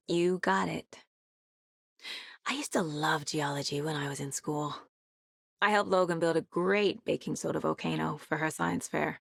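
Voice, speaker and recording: An actress playing a level-headed, dry-witted FBI agent in a video game, with a measured, understated delivery as if thinking aloud. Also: female voice, low-pitched, gravelly, slightly rough, no echo, full character voice. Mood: wry, casual.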